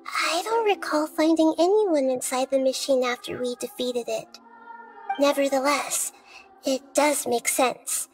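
A woman voicing a gentle child-like girl deity in a video game, speaking in a measured, articulate, reflective way. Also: sits high. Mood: thoughtful. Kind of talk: scripted game dialogue. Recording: game music behind.

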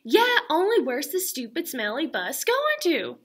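A woman reading a children's book aloud, voicing a bold, bossy almost-six-year-old girl who squeals and insists loudly. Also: sits high, sing-song, measured pace, pitched above the performer's own voice. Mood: loud, insistent. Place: school classroom, indoors.

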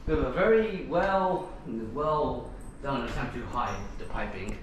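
A man's voice: medium pitch, big pitch swings, a little slow. A man chatting casually as a travel companion, away from the microphone. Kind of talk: casual chat. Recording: very echoey, distant, faint noise.

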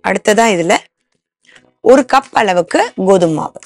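Woman in her 30s walking viewers thru a recipe, bit of gravel in her voice, lapel mic in a tv kitchen. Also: medium pitch, animated, unhurried, dry recording. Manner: explains each step plainly to viewers. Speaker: cooking show host. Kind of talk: step-by-step cooking demonstration. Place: studio kitchen set.

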